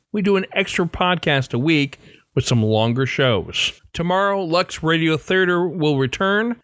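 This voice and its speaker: A man's voice: fairly high pitch, a bit bright, no echo, clean studio sound. A man hosting a podcast, reading a scripted introduction. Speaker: podcast host.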